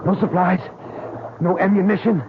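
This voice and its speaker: An actor playing a desperate Southern fugitive on the run, urgent, earnest and stammering, in an old-time radio drama. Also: male voice, sits high, steady tone, normal speed, lightly acted. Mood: urgent, pleading. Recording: noisy, dull old radio recording.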